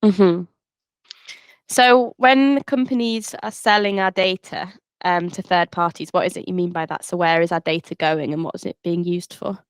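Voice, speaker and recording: A woman interviewer asking polite, measured questions with brief fillers. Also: on the low side, fairly even intonation, smooth, no echo.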